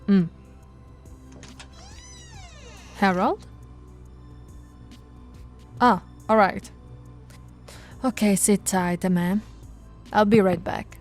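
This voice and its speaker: A woman voicing a patient, teasing female police officer in an ASMR audio roleplay, speaking softly and coaxingly to the listener, recorded close to the mic. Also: mid-range voice, takes it slowly, long pauses, soft background music. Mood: wry. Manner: soft-spoken, coaxing, playfully flirtatious. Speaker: ASMR voice actress.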